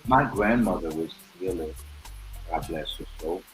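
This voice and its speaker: A man who grew up in Haiti, a bodywork practitioner being interviewed, speaking softly and agreeably with fillers. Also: sits low, rough recording. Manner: soft-spoken, agreeable, pauses and fillers. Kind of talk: conversational interview. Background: music playing behind.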